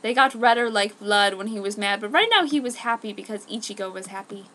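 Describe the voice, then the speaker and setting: A woman's voice: medium pitch, brisk, bright, forceful tone. A woman reading fan fiction aloud in an exaggerated, mock-serious dramatic style. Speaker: amateur fan fiction reader.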